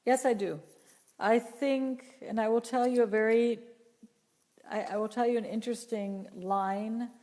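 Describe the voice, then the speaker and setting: A woman voice teacher answering viewer questions live, talking in an unhurried, earnest way and often repeating or restarting her phrases. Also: mid-range voice, warm tone, big-room sound. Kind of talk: live Q&A talk, reading chat questions.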